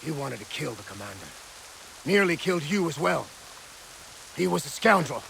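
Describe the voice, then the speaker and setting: An actor playing a gruff, blustering revolutionary army officer who barks orders bluntly. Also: male voice, a little high, sing-song, normal speed, pushed and bright, a little hiss or hum, heavily acted, rough recording. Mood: wry. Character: gruff blustering male revolutionary soldier, middle-aged.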